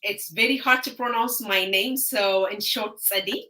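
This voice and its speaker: A woman in her thirties from Ethiopia, a guest on a live-stream interview over a video call, speaking haltingly with many pauses and fillers. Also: mid-range voice, pushed and bright, low-quality recording. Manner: hesitant, halting, frequent uh fillers.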